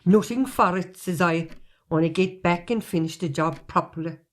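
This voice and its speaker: A woman's voice: fairly low pitch, normal speed, natural voice. A woman acting a chatty, nosy Irish post carrier in an amateur drama, with a folksy, roundabout way of talking. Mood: breezy.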